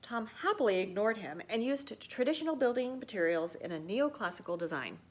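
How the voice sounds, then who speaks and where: Lively, expressive woman reading a picture book. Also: a little high, brisk. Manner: expressive, theatrical storytelling. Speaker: teacher reading aloud. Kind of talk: animated picture-book read-aloud.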